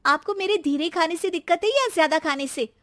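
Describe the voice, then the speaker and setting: A woman voicing a gentle, patient daughter-in-law in a cartoon story, with a mild and polite manner. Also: very high voice, brisk, pitched above the performer's own voice. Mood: mildly defensive. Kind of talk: cartoon moral story character voice.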